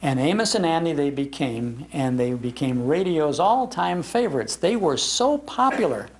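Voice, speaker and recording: American storyteller, slightly high creaky male voice. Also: very animated, moderate pace. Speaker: speaker, radio historian. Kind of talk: anecdotal storytelling in a public talk. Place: public library talk.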